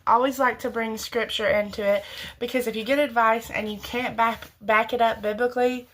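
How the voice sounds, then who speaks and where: A woman's voice: fairly high pitch, steady tone, normal speed, rough recording. A young woman, about twenty, giving earnest, rambling faith advice straight to viewers, with frequent restarts and self-corrections. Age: young adult. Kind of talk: casual faith talk to camera.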